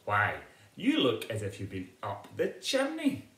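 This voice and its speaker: Man voicing a firm mother bear, swooping pitch. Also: huge pitch swings, unhurried, a bit bright, reverberant, exaggerated voice. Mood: amused exasperation.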